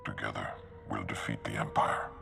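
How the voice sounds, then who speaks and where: An actor playing a commanding, idealistic Jedi master with a deep, gravelly voice in a video game. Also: male voice, monotone, normal speed, in a large hall, over music, heavily acted. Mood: confident, grand. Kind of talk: video game cutscene acting.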